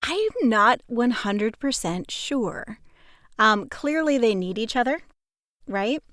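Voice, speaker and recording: Slightly high-voiced woman, dry sound. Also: expressive, slow pace, fairly bright tone, dry recording. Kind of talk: casual chat.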